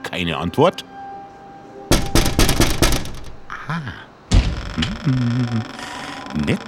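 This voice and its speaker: Cheeky puppet jester, chatty, swoops up and down; music under it. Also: male voice, mid-range voice, big pitch swings, very slow. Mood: curious. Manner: chatty, argues with himself, playful. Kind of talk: children's radio play acting. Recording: radio play with music behind.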